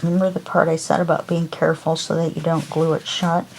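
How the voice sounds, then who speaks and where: A woman hosting a crafting tutorial, chatting calmly and unhurriedly as she works. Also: low voice, background noise. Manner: calm, unhurried, talks while working. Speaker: crafting video host. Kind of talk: casual crafting tutorial chat.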